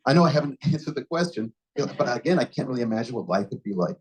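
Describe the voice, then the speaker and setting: A man's voice: medium pitch, lively intonation, quick pace, breathy edge. A man giving an impromptu answer at a club meeting, reflective and often restarting his sentences. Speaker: club member answering a question. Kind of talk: impromptu speech.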